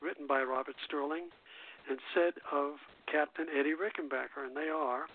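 A man hosting a casual radio show, reading out quotes in a halting way. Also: a little high, fairly even intonation. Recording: phone-like narrow-band radio sound.

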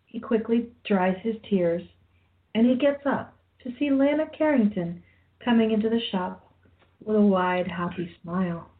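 A woman's voice: mid-range voice, unhurried. A woman narrating a radio play, reading scripted stage directions. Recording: tinny phone-like audio.

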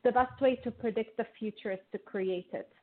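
A woman's voice: a little high, a little fast. A woman from Lebanon, a guest financial therapist, explaining her views in a thoughtful way with frequent restarts. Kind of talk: casual interview talk. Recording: tinny phone-like line.

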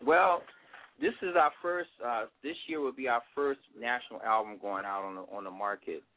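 A middle-aged man from Washington, D.C., a guest musician telling his story in a relaxed, rambling way. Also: medium pitch, moderate pace. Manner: relaxed, rambling, many fillers. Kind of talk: casual interview. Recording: phone-like line.

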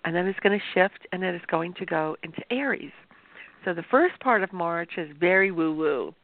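A woman astrologer hosting a show, talking to viewers in a chatty, explanatory way, with a sore throat that makes her voice gravelly. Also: lowish voice, animated, normal speed. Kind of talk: informal talk-show monologue. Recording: tinny phone-like dry sound.

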